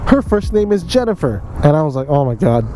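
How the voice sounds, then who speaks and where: A man's voice: fairly high pitch, big pitch swings, fairly quick, noisy background. A young man telling a casual story in a chatty way, speaking directly to his viewers like a vlogger.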